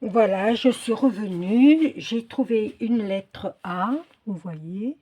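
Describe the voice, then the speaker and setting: A woman's voice: mid-range voice, takes it slowly, mellow, slightly rough. A middle-aged or older woman chatting casually in a craft vlog, hesitant and often searching for her words. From Portuguese descent. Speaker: craft video creator.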